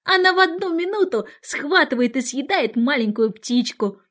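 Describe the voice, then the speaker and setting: Woman as an eager high-voiced little girl. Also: animated, normal speed, a bit bright. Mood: excited, proud. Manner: earnest, exclaiming. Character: eager, polite, impulsive young girl.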